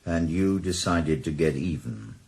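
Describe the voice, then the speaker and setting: A man's voice: a bass voice, expressive, unhurried, a little mellow, full character voice. An actor with a Welsh accent playing a retired male ex-detective who probes with terse, dry questions in a 1980s radio drama. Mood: probing.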